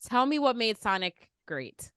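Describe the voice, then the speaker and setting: A woman's voice: a little high, very animated, measured pace, a bit bright, smooth, no echo. Inquisitive woman hosting a casual podcast, dry sound. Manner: conversational, informal, inquisitive. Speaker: podcast host. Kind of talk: casual panel chat, asking questions.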